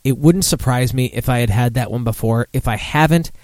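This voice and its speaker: A man's voice: medium pitch, fairly quick, a little mellow, no room sound. Rambling, profane male podcast host, dry recording. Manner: rambling, self-deprecating, profane. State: mildly tipsy on wine. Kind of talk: casual live beer-news chat.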